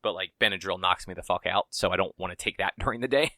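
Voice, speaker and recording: A man's voice: low-pitched, quick pace, pushed and bright, dry recording, studio quality. A man co-hosting a podcast, talking in a laid-back, rambling way and swearing casually. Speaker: podcast co-host. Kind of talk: casual chat.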